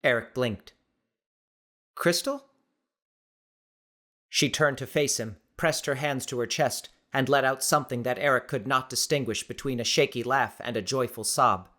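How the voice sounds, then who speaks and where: Guy narrating an audiobook, middle pitch, measured expressive dramatic story reading.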